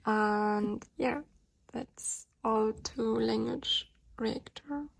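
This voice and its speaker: A woman's voice: on the high side, very slow, vocal fry, a little hiss or hum. A woman with a German accent, a native German speaker, explaining her study routine in a casual, hesitant way with many fillers. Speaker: language learner vlogger.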